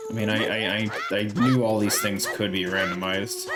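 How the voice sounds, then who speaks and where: Streamer, male, very low voice, loose chatty play-by-play, game music underneath. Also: steady tone, moderate pace. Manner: chatty, thinks aloud, answers chat, swears casually. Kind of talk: casual gameplay commentary.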